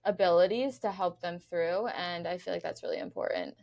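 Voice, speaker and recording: A woman's voice: on the low side, a little fast, gravelly, darkish tone. A young woman from New York in casual interview chat as a podcast guest, speaking close to a studio microphone. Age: young adult. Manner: chatty, peppers talk with "like". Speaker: podcast guest, pageant contestant. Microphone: close studio microphone on boom arm.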